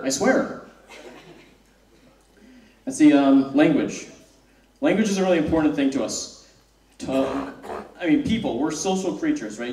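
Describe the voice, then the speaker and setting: A man's voice: on the high side, darkish tone, a little hiss or hum. Wry young man, echoey distant hall. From born in South Korea, raised in the US. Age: young adult. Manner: wry and self-deprecating, with hesitant restarts. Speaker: student speaker. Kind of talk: personal spoken-word talk on stage. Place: stage in a hall with a live audience. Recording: roomy hall, mic from far off.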